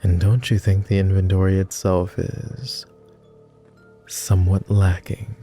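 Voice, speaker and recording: A man playing a lonely, gentle vampire who speaks formally and haltingly in an intimate ASMR roleplay. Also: low voice, flat delivery, soft background music. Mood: wry, subdued. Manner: formal, old-fashioned wording with halting, hushed delivery. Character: clingy lonely male vampire, courtly, gentle, easily flustered.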